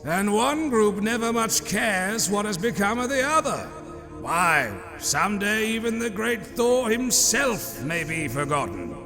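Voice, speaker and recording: A high, swooping voice rings through a long, reverberant hall as a snide elderly librarian of the gods. He is haughty and theatrical, stretching out his mock courtesies in a fantasy audio drama. Music plays clearly beneath him. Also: very animated, full character voice. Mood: sardonic. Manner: theatrical, sardonic, drawn-out mock politeness. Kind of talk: audio comic drama acting.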